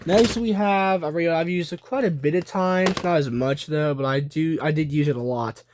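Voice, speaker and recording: A man's voice: a little high, moderate pace, noisy background. A man giving casual show-and-tell commentary, thinking aloud in a hesitant way. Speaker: video creator showing a collection.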